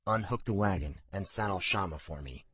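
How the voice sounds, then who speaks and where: A man as a steady, low-voiced father. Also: husky, no echo. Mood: firm. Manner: measured, straightforward. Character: gruff, straightforward middle-aged father.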